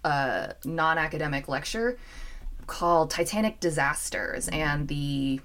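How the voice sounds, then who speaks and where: A woman in her thirties hosting a podcast and chatting casually, talking in quick bursts. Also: low voice, lively intonation, fairly bright tone. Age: 30s. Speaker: podcast host. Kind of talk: casual interview chat.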